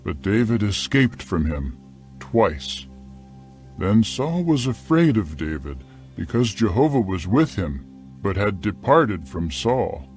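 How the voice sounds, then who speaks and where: A man narrating a dramatized scripture account in a measured, deliberate storytelling style. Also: lowish voice, very animated, darkish tone, faint music behind.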